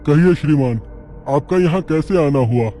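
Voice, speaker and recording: A man's voice: a little high, normal speed, dark tone, soft background music, lightly acted. A man playing a humble, hospitable poor farmer, polite and deferential, in a moral-story cartoon.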